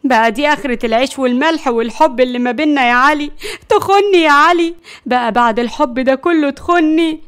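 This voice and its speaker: Audio story grandmother, played by a woman: Egyptian, fiery and sharp-tongued, high voice, rattles out scoldings. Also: fast, natural voice. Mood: furious, jealous. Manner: loud, sharp-tongued, nagging, exclaiming. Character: fiery, exasperated, scolding grandmother, jealous wife.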